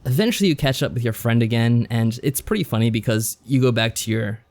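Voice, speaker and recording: A man with a voice of middling pitch talks casually as a podcast co-host. He recaps a story in a loose, rambling way, with wry asides along the way. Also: quick pace, darkish tone. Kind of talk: casual chat recapping a visual novel.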